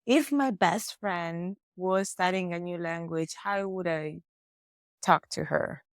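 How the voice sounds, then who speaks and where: A woman with an Italian accent, a language teacher and native Italian speaker, chatting expressively as a podcast guest and explaining with lively examples. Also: lowish voice, slow pace, clear, resonant tone, no echo, studio quality. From Italy. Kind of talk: casual podcast conversation.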